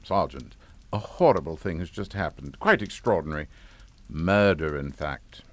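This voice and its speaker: A man's voice: very deep voice, big pitch swings, normal speed, no room sound, full character voice. An actor playing a genteel, middle-aged family man in a suspense drama, pompous and formal with a falsely jovial manner. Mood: grave, formal. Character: genteel English family patriarch, smoothly hypocritical and unflappable. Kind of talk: radio suspense drama acting.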